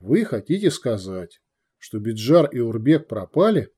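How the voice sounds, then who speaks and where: A man voicing Santiago, a calm, patient male commissar who speaks in a measured, restrained way. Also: mid-range voice, slightly rough. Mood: alarmed.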